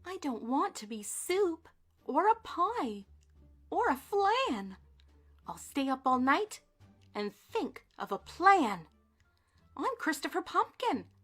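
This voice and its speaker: A sunny, fun-loving young pumpkin boy chatters away in a storybook read-aloud, as a woman voices him. His voice sits very high and swoops up and down with a bright, sparkling edge. He sounds bubbly and upbeat throughout. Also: sing-song, slow, long pauses, faint music behind, full character voice. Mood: determined. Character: cheerful, friendly young male pumpkin.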